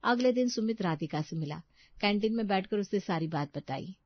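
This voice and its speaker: A woman's voice: mid-range voice, expressive, normal speed, dry recording, dull audio. A woman reading a scripted moral story as its narrator.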